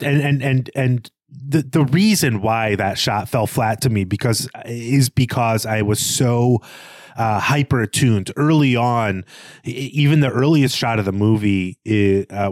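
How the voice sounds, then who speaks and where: A man co-hosting a casual, analytical film discussion, working out his thoughts aloud in a halting way. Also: on the low side, no echo, studio quality. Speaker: podcast co-host.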